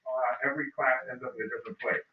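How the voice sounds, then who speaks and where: A man teaching a high school history class, talking loudly and joking with his students through a mask. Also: steady tone, rapid-fire, crowd noise. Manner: loud, joking, teasing students. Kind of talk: classroom lecture. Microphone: masked, recorded from across a classroom. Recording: echoey room, far from the mic, phone-like sound.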